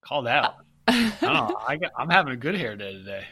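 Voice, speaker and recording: A man co-hosting a podcast in an upbeat, conversational way, building his points around analogies and metaphors. His voice is bright and forceful, and he sounds a little stuffed up. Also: medium pitch, huge pitch swings, fast, husky. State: stuffy nose. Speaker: podcast co-host.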